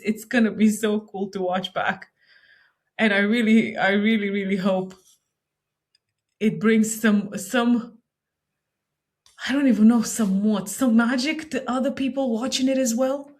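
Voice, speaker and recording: A woman hosting a casual interview chat, bubbly and quick to laugh. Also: mid-range voice, steady tone. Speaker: podcast host.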